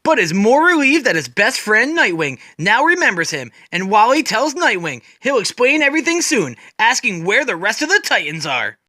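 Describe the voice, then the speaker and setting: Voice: medium pitch, very animated, moderate pace, few pauses, pushed and bright, no room sound, clean studio sound. A person giving a dramatic, scripted narration as a storyteller, retelling comic book scenes in the present tense.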